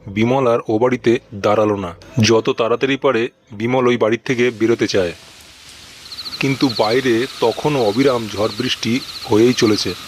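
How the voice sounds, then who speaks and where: Guy narrating a spooky cartoon story, mid pitch voice, pretty noisy ambience behind. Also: fairly even intonation, normal speed. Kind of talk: scripted horror story narration. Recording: noisy recording with background ambience.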